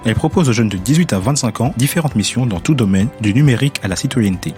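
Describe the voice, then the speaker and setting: A man's voice: medium pitch, lively intonation, fast, a little mellow, faint music behind. A man presenting a radio report with scripted narration.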